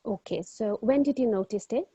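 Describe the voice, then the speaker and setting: A woman's voice: fairly high pitch, normal speed, warm tone, slightly rough, no room sound, muffled recording. A woman acting as a doctor in a mock exam, taking a clinical history politely and hesitantly, with many fillers. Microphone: video call.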